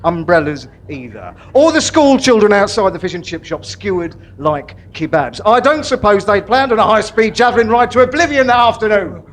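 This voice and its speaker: Casting: male actor as pompous prison governor type, high voice, grand drawn-out delivery, live stage comedy. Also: big pitch swings. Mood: sardonic. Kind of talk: live comedy sketch acting.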